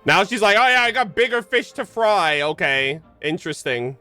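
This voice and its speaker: A man's voice: high-pitched, big pitch swings, moderate pace, pushed and bright, soft background music. A young man streaming a game with casual, slangy commentary, joking and incredulous. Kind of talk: casual live gameplay commentary.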